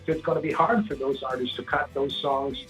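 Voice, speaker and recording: A man from Sweden with a big, gravelly voice talks his way through long stories in a relaxed interview, often starting over mid-sentence. Faint music sits low behind him. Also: fairly quick, poor audio. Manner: rambling storyteller, frequent restarts. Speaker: singer-songwriter guest. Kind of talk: casual interview chat.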